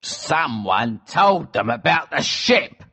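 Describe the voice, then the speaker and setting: Man playing a deep, slow, grim old smuggler. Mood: angry. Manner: calm, cold, deliberate. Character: grim, vengeful older smuggler, fatherly to a boy. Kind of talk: acted storybook dialogue.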